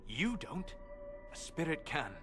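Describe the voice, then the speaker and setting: An actor playing a solemn, scholarly male elf mage in a video game, speaking formally, precisely and gravely. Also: on the high side, big pitch swings, slow pace, music in the background. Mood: firm. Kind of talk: video game cutscene acting.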